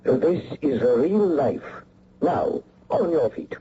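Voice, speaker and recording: An actor playing a menacing foreign spy in a radio mystery, with formal, deliberate, mockingly courteous speech. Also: male voice, on the high side, big pitch swings. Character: cold, menacing male foreign spy with a gun. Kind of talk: old-time radio mystery acting. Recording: noisy, muffled old radio recording.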